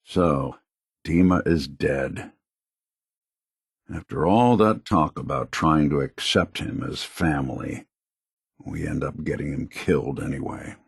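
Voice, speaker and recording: A man voicing a wry, kindly, world-weary android private detective in a video game, with a gravelly, husky voice and a laconic hardboiled delivery. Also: extremely low pitch, slow pace, dry recording, studio quality, exaggerated voice. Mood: somber, reflective. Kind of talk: video game character dialogue.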